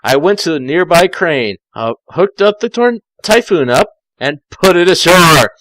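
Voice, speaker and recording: A man's voice: a little high, expressive, measured pace, fairly bright tone, no echo, low-quality recording. Sardonic man reading fan fiction aloud. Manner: sardonic, mocking asides. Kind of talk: amateur read-aloud with sardonic side comments.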